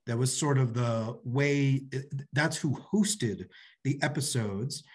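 A man's voice: mid-range voice, slightly rough. A man in his forties giving an informal presentation over a video call from an office, as a theatre director. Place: small office, indoors. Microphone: computer mic on a video call.

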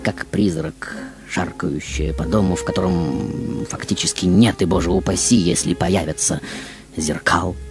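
Deep-voiced man playing an aged, theatrical film diva. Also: huge pitch swings, music in the background, full character voice. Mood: melancholy, theatrical. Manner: declamatory, deliberate, addressing the audience. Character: aged, reclusive, theatrical female film star looking back. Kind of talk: theatrical monologue, stage storytelling.

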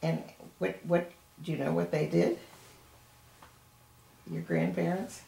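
An older woman with a gravelly voice interviewing for an oral history, friendly and encouraging. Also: lowish voice, steady tone, slow, mellow. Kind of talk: interview questions. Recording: echoey room, faint hiss.

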